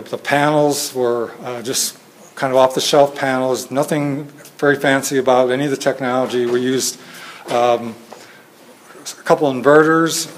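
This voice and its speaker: A soft-spoken man giving an informal talk with slides to a training group, hesitant with many fillers and restarts, recorded on a phone in a meeting room. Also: medium pitch, slight background noise, rough recording. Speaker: presenter at a training session. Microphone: phone camera in the room.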